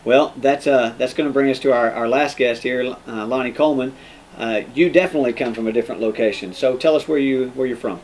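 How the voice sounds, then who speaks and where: A man with an American accent hosting a casual interview as a police sergeant, in an informal, halting way. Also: mid-range voice, a little mellow. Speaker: podcast host, police sergeant. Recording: noticeable background noise.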